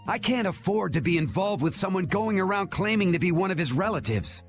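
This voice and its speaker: Scornful guild man, gravelly and animated. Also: high-pitched, brisk, faint music behind. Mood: scornful, threatening. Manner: accusing, blunt, threatening. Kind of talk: dramatic video game dialogue.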